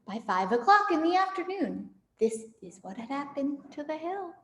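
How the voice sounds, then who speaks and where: Animated woman reading a story, echoey room. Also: high voice, normal speed, a little hiss or hum. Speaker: storybook reader for a camp audience. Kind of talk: expressive children's story read-aloud. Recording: echoey room with long reverb.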